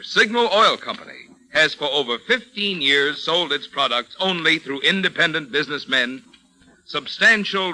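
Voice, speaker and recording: Forceful, bright-voiced 1940s radio announcer man. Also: fairly high pitch, low-quality recording. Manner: polished, measured, emphatic. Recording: old 1940s radio recording.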